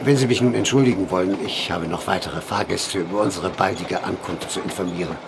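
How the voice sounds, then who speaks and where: An actor playing a courteous, dry-humored train conductor, formal and deferential, in a German audio drama. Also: male voice, fairly quick. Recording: clear train rumble behind voice.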